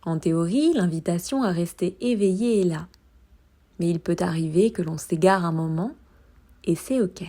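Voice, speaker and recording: Female meditation guide: calm, soothing, lowish mellow voice, soft delivery with unhurried pauses. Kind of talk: guided meditation, body scan.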